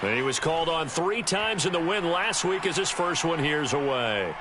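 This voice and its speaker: A man's voice: a little high, expressive, moderate pace, close to an everyday voice. A man playing a polished football play-by-play announcer, commentating in a video game. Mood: measured, informative. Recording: game audio under very loud noise.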